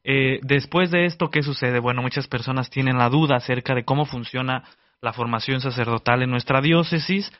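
Steady man hosting a radio show. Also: medium pitch, no room sound, dull audio. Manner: measured, frequent "eh" fillers. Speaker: radio host.

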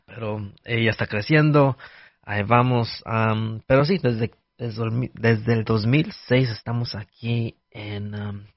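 A man's voice: mid-range voice, fairly even intonation, a little slow, no room sound, lo-fi sound. A young man from the United States talking casually as a radio guest, hesitant, with many restarts and fillers. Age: young adult. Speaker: guest, board operator.

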